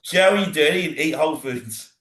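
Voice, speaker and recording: A man's voice: a little high, lively intonation, a little fast, a bit bright, reverberant. An Australian man in his thirties hosting a casual podcast chat, rambling and often stumbling over repeated words. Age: 30s. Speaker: podcast host.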